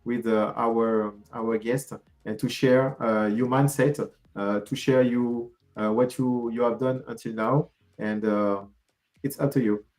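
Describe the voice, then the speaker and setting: A man moderating an online event over a video call, halting and filling pauses with many fillers. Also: mid-range voice, steady tone, a little mellow. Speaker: host and moderator.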